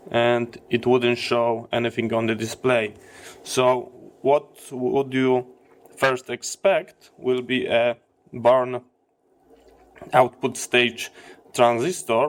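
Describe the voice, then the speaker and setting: Slow-talking man explaining a repair. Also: medium pitch. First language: not English. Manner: halting, explanatory, step by step. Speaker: electronics repair presenter. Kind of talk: hands-on repair demonstration.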